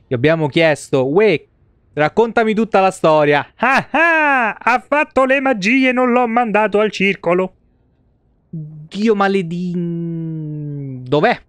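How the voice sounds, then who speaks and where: Italian male game streamer, thirties, smooth clear voice, dry sound, sarcastic and sweary. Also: high voice, lively intonation, slow, fairly bright tone, dry recording. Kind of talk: casual live-stream commentary on a role-playing game.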